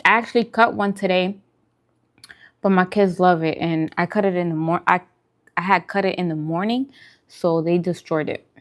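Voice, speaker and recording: A woman's voice: lowish voice, fairly even intonation, moderate pace. A woman chatting casually and in a friendly way as she hosts a food livestream, answering viewers' comments.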